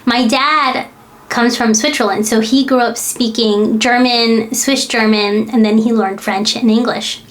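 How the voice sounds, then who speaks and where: A woman's voice: a little high, fairly even intonation, measured pace. An American woman, a parent, hosting her own language-learning channel and talking candidly to the camera with frequent fillers. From United States. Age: adult parent. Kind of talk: personal talk to camera.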